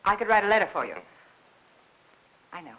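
An American woman in her thirties acting a sweet, playful, easily flustered young woman with coy, expressive delivery in a 1950s Hollywood film. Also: mid-range voice, brisk. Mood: kindly, coy. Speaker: actress. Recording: faintly noisy vintage film soundtrack.

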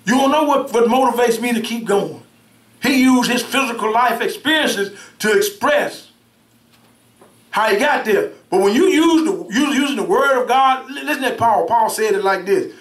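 A middle-aged minister preaching a sermon, with call-and-response, repeated words and swings between shouts and soft asides. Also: male voice, very high voice, expressive, normal speed. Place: church sanctuary, indoors, at a pulpit. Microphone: pulpit mic from a far camera. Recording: roomy church sound from far off.

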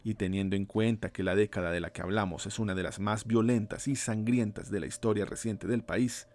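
A man reading a scripted horror story as narrator, slow and ominous, dropping his voice low on the grim lines. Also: creaky, a little mellow.

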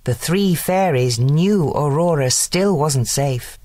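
A woman reads a bedtime story as its narrator. Her voice is low and highly expressive, taken at a slow, deliberate pace. Music plays underneath. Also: no room sound.

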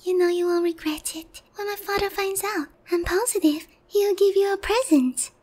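A woman's voice: extremely high pitch, normal speed, a little mellow. A woman playing a naive, sheltered young harpy noblewoman in a roleplay ASMR, polite, hesitant and earnest in a girlish way. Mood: grateful, hopeful.

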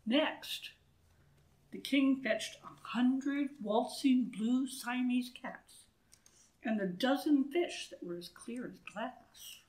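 A woman in her sixties reading a children's story aloud in an expressive, deliberate way, with asides to listeners, recorded indoors a few feet from the camera. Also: high voice, low-quality recording. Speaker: storybook reader. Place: wood-panelled church room, indoors. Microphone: camera a few feet away.

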